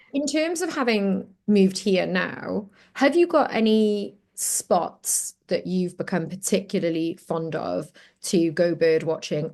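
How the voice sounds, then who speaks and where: A woman from Cardiff with a South Welsh accent, hosting a podcast interview in an effusive, gushing way. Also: fairly low pitch. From Cardiff, South Wales. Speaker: podcast host.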